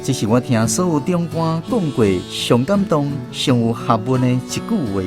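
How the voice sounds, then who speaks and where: A man's voice: mid-range voice, lively intonation, normal speed, natural voice. A man with a Taiwanese accent playing a wry storyteller who recalls army days in radio drama narration, with music behind. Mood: reflective.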